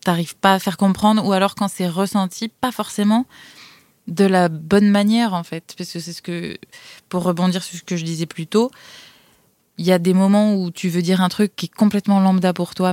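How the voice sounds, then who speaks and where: A woman's voice: lowish voice, fairly even intonation, moderate pace, darkish tone, no echo. A woman co-hosting a casual discussion, talking reflectively and searching for the right words.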